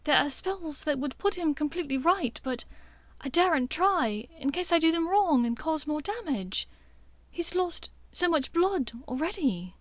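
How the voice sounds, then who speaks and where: A woman's voice: high-pitched, lively intonation, unhurried, no room sound, pitched above the performer's own voice. A woman voicing an anxious young witch, urgent and pleading, in an audiobook story. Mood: shaken, anxious.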